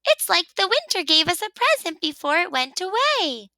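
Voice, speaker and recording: A tiny cheerful mouse from a children's storybook. The voice is squeaky and extremely high, with excitable swoops and a dry, close sound. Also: female voice, moderate pace, no echo, heavily acted. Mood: encouraging. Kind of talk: children's storybook read-aloud.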